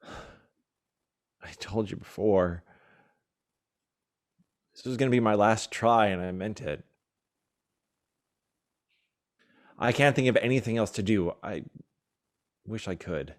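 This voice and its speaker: A man's voice: lowish voice, unhurried, pauses often, close to an everyday voice. A man playing the exasperated but loving boyfriend of a stage diva in a radio play, with wry, emphatic phrasing. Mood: weary, resigned. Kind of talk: radio play acting of classic film dialogue.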